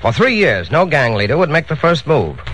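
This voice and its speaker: A man's voice: fairly high pitch, big pitch swings, moderate pace, a bit bright, low-quality recording. A man narrating a scripted 1940s radio crime drama as a storyteller. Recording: old radio broadcast with faint noise.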